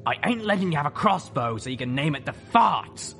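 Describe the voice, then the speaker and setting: A man voicing a gruff griffin mother and mercenary leader, firm and world-weary. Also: fairly high pitch, very animated, brisk, fairly bright tone, faint music behind, pitched above the performer's own voice, exaggerated voice.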